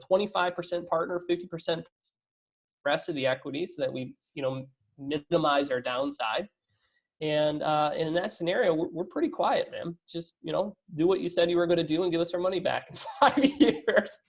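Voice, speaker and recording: A man speaking as an investor guest in a casual business interview, conversational, often adding "you know" and "right?" tags. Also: on the high side.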